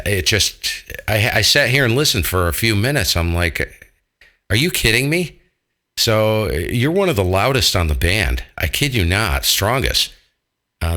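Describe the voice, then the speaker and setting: A man's voice: fairly low pitch, sharp tone. An American man chatting casually as an amateur radio operator, colloquial and jokey in manner.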